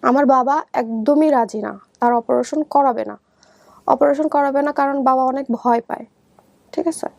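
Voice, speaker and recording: A young adult woman with a Bangladeshi accent telling her life story in conversation, halting and often repeating herself. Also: high voice, creaky, darkish tone, dry recording.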